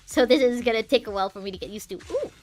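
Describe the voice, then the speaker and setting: A woman's voice: a little high, animated, racing. A woman giving casual, chatty livestream commentary while playing a game, giggly and drifting into asides. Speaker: game streamer. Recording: faint game music behind the voice.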